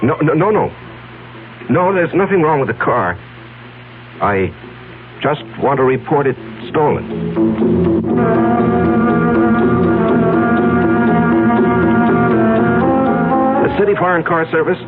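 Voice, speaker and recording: An actor playing a guilty, desperate businessman in a 1970s radio drama, halting, agitated and evasive. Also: fairly high pitch, sing-song. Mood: uneasy, hesitant. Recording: lo-fi radio broadcast with music underneath.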